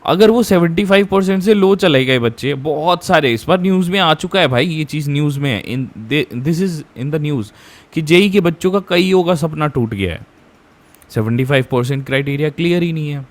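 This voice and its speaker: A man's voice: on the high side, animated, normal speed. An Indian man hosting an informal explainer for students, conversational, mixing Hindi with English and repeating phrases for emphasis. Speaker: education YouTuber, host.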